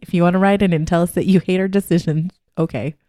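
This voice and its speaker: A woman's voice: lowish voice, steady tone, quick pace, warm tone, dry recording. Giggly podcast co-host woman, dry sound. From Hawaii. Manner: bubbly, laughs often, playful. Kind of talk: casual chat.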